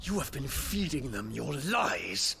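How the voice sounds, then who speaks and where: An actor playing a proud, resolute young prince in a fantasy game, with a formal, earnest and solemn manner. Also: male voice, mid-range voice, big pitch swings, slow, vocal fry, sharp tone, slight background noise, exaggerated voice. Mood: outraged. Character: proud, noble warrior prince, grave and resolute.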